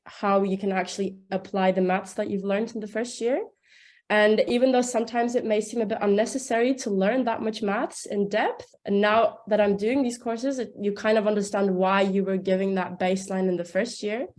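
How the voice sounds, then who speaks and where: A woman's voice: medium pitch, darkish tone. A young woman from Turkey, a second-year student, talking casually and chattily about her studies, with many "like"s. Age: young adult. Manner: chatty, uses "like" a lot.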